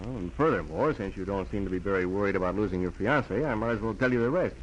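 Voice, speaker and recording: Hesitant, low-voiced young American man played by an actor. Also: fast, highs cut off, natural voice. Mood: resolved. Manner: hesitant, stammering. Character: self-doubting, lovestruck kid brother of a businessman. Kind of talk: 1940s American radio drama acting. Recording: noisy old 1940s radio recording.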